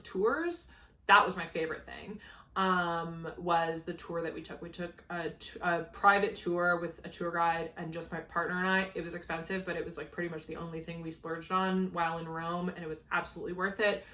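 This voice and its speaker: A young woman talks casually to camera about her knitting and travels, with plenty of fillers and "like"s. Her voice sits lowish and is slightly hoarse. The room around her is echoey. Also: fairly bright tone. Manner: chatty, many fillers and "like". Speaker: knitting podcast host.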